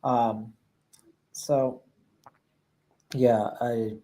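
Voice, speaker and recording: A man's voice: mid-range voice, flat delivery, drawn-out words, mellow, rough recording. A man from Mississippi with a Southern accent, co-hosting a Q&A, reading out questions and chatting in an easygoing way. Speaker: co-host reading viewer questions. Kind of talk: casual chat.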